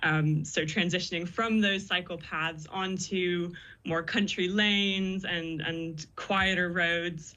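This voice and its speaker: A woman's voice: fairly low pitch, steady tone, takes it slowly, pushed and bright, muffled recording. A young woman guest in a radio interview, chatty and upbeat, laughing easily as she talks. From born in the US, raised in the Netherlands. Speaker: guest, PhD student.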